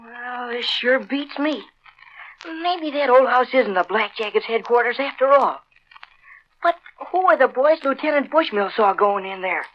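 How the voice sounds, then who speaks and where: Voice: medium pitch, measured pace, natural voice. An actor playing a keen young boy sidekick in an old radio adventure serial, earnest and exclamatory. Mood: puzzled. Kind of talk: 1930s-40s radio serial acting. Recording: dull old radio recording, faint noise.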